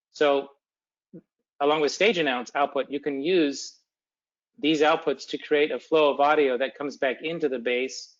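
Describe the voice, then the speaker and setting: A man talks through technical details as a webinar presenter. He is halting, as if thinking aloud, with an unscripted delivery. The sound is dull and lo-fi, like an online call. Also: mid-range voice, a bit bright. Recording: dull, lo-fi webcast audio.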